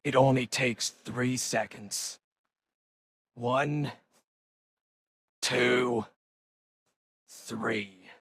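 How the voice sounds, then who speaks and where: An actor playing a vengeful, menacing superhero in a comic-book drama, speaking with deliberate, measured pauses and a gravelly edge. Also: male voice, medium pitch, steady tone, no echo. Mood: cold, threatening. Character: vengeful, menacing male superhero threatening his enemy. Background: music.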